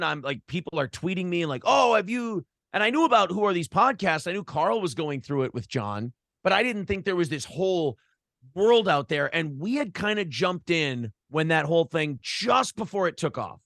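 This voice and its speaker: American guy on a podcast, voice a bit high, sweary rambling stories, super dry close sound. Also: a bit bright, dry recording. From Minnesota, United States. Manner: profane, blunt, rambling anecdotes. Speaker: podcast and morning show host, interview guest. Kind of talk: casual interview chat, storytelling.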